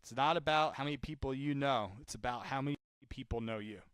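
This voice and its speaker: A man's voice: mid-range voice, quick pace, a bit bright. Informal, chatty man coaching sales calls. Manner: informal, chatty, repeats points for emphasis. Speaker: sales caller and trainer making live outreach calls. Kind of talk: live cold-call demonstration with coaching talk.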